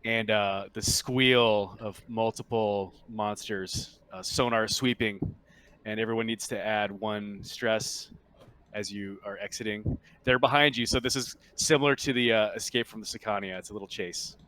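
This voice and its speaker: A man narrating a tabletop roleplaying game as game master, casually and with halting, improvised phrasing. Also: on the low side, fairly even intonation, fairly bright tone, clear, resonant tone.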